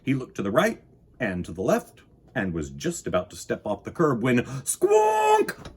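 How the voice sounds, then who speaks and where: A man reads a children's story with a hugely varied, swooping pitch and a husky edge. His delivery is theatrical and deliberate, made for storytime. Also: wildly swooping pitch, darkish tone. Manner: dramatic, deliberate, with sound effects and exclamations. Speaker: storyteller reading a children's picture book.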